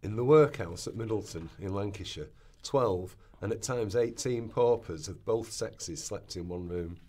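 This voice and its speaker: Man, low pitch, scripted reading of old first-hand accounts, radio play rehearsal. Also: a little mellow. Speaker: reader of quoted historical accounts. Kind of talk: scripted dramatic reading of literary passages.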